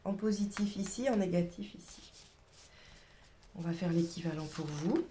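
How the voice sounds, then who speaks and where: A woman's voice: lowish voice, moderate pace, mellow, slight background noise. A woman with a cold giving a casual tarot reading, chatty and hesitant, sometimes dropping to a whisper. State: has a cold. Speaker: tarot reader.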